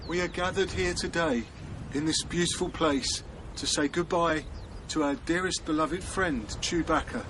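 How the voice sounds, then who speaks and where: A man playing a reserved, reluctantly kind hermit in a naturalistic radio drama, terse and measured. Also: fairly high pitch, noisy background, lightly acted. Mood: solemn.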